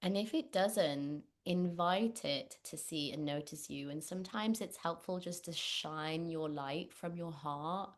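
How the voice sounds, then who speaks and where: A woman leading a guided meditation, speaking gently and unhurriedly with long pauses. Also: lowish voice. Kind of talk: guided meditation, spoken instruction.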